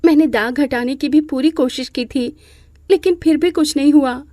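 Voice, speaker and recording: A woman's voice: sits high, normal speed, mellow, dry recording, clean studio sound, natural voice. A woman voicing a humble, dutiful young bride in a moral story, meek and respectful. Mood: apologetic. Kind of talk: Hindi moral-story character acting.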